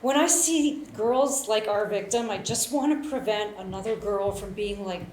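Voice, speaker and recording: A woman's voice: medium pitch, moderate pace, over music, lightly acted. A woman playing a hard-edged, confrontational detective in a live screenplay table read. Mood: terse, pointed. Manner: blunt, confrontational. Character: hard-edged, driven detective haunted by a missing daughter. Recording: echoey room, faint noise.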